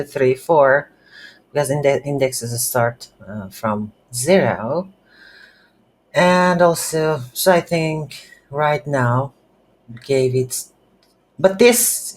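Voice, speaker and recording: A coding instructor who is a non-native English speaker, giving an unscripted game development tutorial and thinking aloud haltingly while working. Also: medium pitch. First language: not English. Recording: noisy, with keyboard typing. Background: computer keyboard typing.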